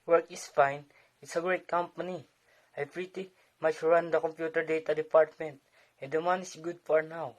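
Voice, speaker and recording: A man acting a calm, supportive young husband in an amateur stage play. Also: on the high side, normal speed, lightly acted, low-quality recording. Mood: guarded.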